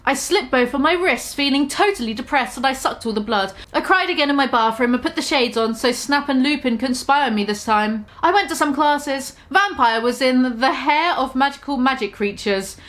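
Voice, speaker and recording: A young woman giving a mock-dramatic comic reading, deadpan with swearing that breaks into giggles. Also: sits high, normal speed, few pauses. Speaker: comedic reader.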